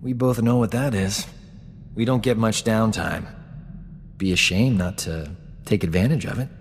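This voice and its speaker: An actor as a low-voiced, cocky rogue space doctor. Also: male voice, normal speed, big-room sound, slight background noise, lightly acted. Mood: flirtatious. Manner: smooth-talking, self-satisfied, glib. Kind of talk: video game dialogue acting.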